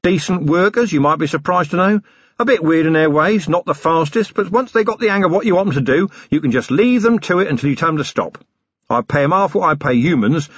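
In an audiobook, a man voices a rough older tycoon who got rich on rubbish. The voice sits raised and loud, blunt and matey, with a husky edge. The sound is dry and a little dull. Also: fairly high pitch, dry recording, pitched above the performer's own voice. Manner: blunt, matey working-class bluster. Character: rough, ruthless older male scrap-and-muck tycoon. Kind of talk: audiobook character voice.

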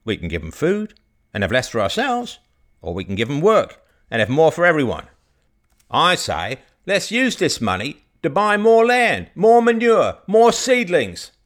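A man voicing a blunt old rustic farmer who speaks in a folksy, deliberate way. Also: a little high, huge pitch swings, a bit bright, voice pushed up, exaggerated voice. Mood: gruff persuasion.

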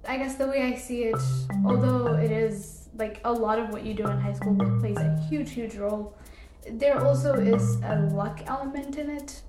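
A woman's voice: on the high side, normal speed, echoey room, loud music behind the voice. A young woman from Ethiopia, a podcast guest, answering casually with many fillers and short laughs. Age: young adult.

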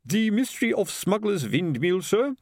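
A man's voice: sits high, big pitch swings, moderate pace, dry recording, clean studio sound, pitched above the performer's own voice, exaggerated voice. A man voicing a deferential, literal-minded town watch sergeant with a dutiful, puzzled manner in a storybook reading.

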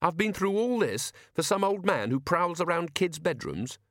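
A man voicing an exasperated, sharp-tongued young woman in an audiobook, challenging and indignant. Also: medium pitch, sing-song, measured pace, no echo, clean studio sound, pitched above the performer's own voice.

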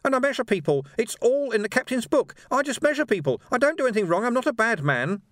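Audiobook bit part: small meek male clerk, very high frightened voice, gasping protests, panicky stammer. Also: sing-song, quick pace, a bit bright, no room sound, studio quality, heavily acted. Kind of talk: audiobook character voice.

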